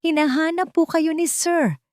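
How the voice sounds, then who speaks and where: A woman voicing a hurried female nurse who speaks politely but quickly. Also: very high-pitched, steady tone, darkish tone, no room sound, clean studio sound, pitched above the performer's own voice.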